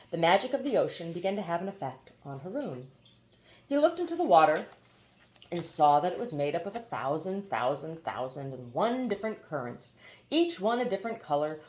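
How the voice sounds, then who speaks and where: Woman reading a story with very lively intonation. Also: fairly low pitch. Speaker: audiobook reader. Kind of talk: expressive storybook narration.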